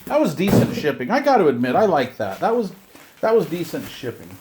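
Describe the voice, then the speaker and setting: A man's voice: fairly high pitch, wildly swooping pitch, brisk, background noise. A middle-aged man chatting casually as he hosts an unboxing video, rambling along with a self-deprecating, grumbling streak. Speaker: video host unboxing radio gear. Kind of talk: casual unboxing chat to camera.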